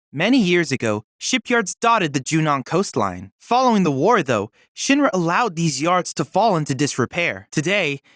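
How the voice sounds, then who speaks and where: A man's voice: a little high, a bit bright, no room sound, clean studio sound. An actor voicing a polite, bookish young android boy researcher in a video game, speaking with formal, precise and earnest diction. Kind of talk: scripted video game character dialogue.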